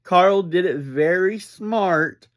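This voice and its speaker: A man with a slightly high voice talks very slowly through a casual fan review. His phrasing is halting, broken up by pauses, like someone explaining things off the cuff to listeners. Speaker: podcast reviewer.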